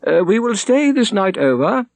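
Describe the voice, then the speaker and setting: A man's voice: high voice, very animated, moderate pace, creaky, dry recording, voice pushed up, full character voice. Guy reading as a stately prior, formal and ceremonious, voice lifted up and swelling. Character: formal, dignified male monastery prior. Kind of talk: audiobook character reading.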